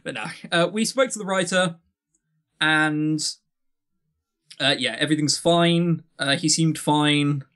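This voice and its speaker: A man's voice: fairly high pitch, slow, long pauses, a bit bright, smooth. A man of twenty-two co-hosting a podcast in casual, joking chat, with tongue-in-cheek, self-deprecating banter. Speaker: podcast co-host.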